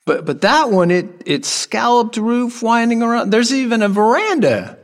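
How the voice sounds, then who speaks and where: An actor playing an odd, nostalgic exterminator in an audio drama, earnest and halting with a childlike sense of wonder. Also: male voice, very high-pitched, very animated, no echo, clean studio sound, exaggerated voice. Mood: eager wonder. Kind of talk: intimate audio drama acting.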